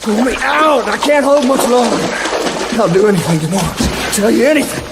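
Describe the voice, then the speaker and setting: Male crook for radio thriller: extremely high pitch, short barked lines, noisy backdrop. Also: expressive, normal speed, gravelly, exaggerated voice. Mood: desperate pleading. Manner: barking short commands and pleas. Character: menacing gangster robber with a rifle, turning to begging. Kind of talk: radio drama acting.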